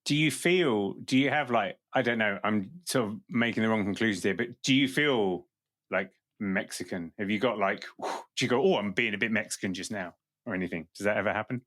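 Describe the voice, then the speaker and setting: A man's voice: lowish voice, a little fast, a bit bright, no echo, clean studio sound. Jokey male podcast host. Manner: jokey, enthusiastic. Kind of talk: casual interview and outro.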